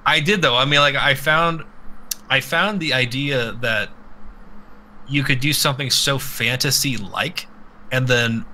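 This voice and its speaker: Bright, animated man chatting on a podcast. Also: medium pitch, a little slow, a little hiss or hum, poor audio. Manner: animated, sweary, rambling. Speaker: podcast host. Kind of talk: casual opinionated live chat about games.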